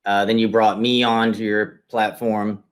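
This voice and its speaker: A man's voice: lowish voice, level tone, measured pace. A man interviewing a client and conversationally explaining results on a shared screen, step by step. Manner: explanatory, walks listener through results step by step. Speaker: interviewer and marketing consultant.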